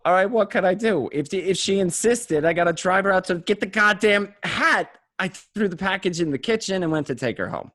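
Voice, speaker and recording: A man's voice: high voice, animated, moderate pace, few pauses, fairly bright tone, no room sound. An actor playing a hot-headed, anxious gangster in a radio play, telling his story in profane, stammering bursts. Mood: exasperated. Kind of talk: first-person crime narration and dialogue, radio play.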